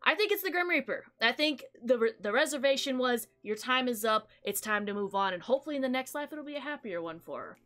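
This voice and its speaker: A woman's voice: fairly high pitch, animated, quick pace, hardly any pauses, fairly bright tone. A young woman hosting a gaming video, chatting casually and expressively about what she played. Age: young adult. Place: small indoor room. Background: music.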